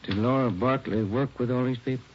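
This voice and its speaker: An actor playing a polite, plain-spoken police detective in a 1940s radio drama. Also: male voice, medium pitch, fairly quick, a little hiss or hum, close to an everyday voice. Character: polite, easygoing male police detective partner. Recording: muffled vintage 1940s radio recording.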